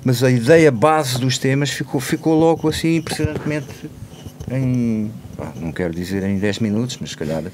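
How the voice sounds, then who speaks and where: Halting Portuguese man, noisy background. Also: mid-range voice, a little mellow. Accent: Portuguese (European). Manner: halting, repeating phrases. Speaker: musician, band member being interviewed. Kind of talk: casual group conversation.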